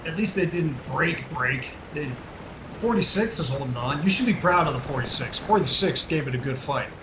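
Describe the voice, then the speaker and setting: A man's voice: fairly high pitch, animated, moderate pace. Chatty man reacting over game noise. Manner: chatty, reacts aloud to the battle, some hesitations. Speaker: guest and mod creator. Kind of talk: casual multiplayer gameplay chat. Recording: noisy game audio behind.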